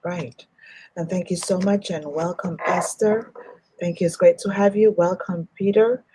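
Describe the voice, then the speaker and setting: A woman's voice: fairly low pitch, fairly even intonation, measured pace, noisy background. A woman hosting a live online talk show, greeting viewers by name and speaking effusively. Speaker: host.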